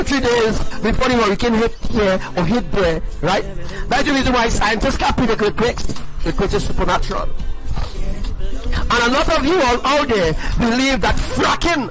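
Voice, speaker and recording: A man's voice: very high voice, rough recording. A man preaching on a livestream. He talks emphatically, repeats his points and keeps asking his listeners "right?". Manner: emphatic and repetitive, asks the audience "right?".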